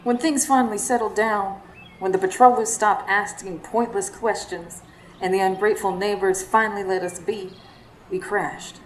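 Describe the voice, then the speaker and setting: A woman playing a tough, devoted young heroine telling her story in a radio play. Her pitch is medium. Faint noise sits behind the live stage recording. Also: fairly even intonation, moderate pace, natural voice, low-quality recording. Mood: reflective. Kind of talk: radio drama narration.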